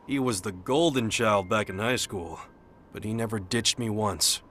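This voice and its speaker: A man's voice: fairly low pitch, moderate pace, a bit bright, lightly acted. A man playing a wistful American soldier over music. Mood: fond, determined. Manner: colloquial, wistful, plain-spoken. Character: earnest rough-edged soldier devoted to his missing partner. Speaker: voice actor in a game cutscene. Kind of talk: game cutscene acting.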